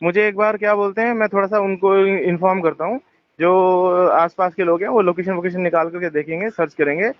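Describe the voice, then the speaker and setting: A man's voice: high-pitched, fairly even intonation, brisk. A man on a phone call, talking in a rambling, defensive way with many fillers and restarts. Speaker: phone caller. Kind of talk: tense phone conversation. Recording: muffled phone call recording.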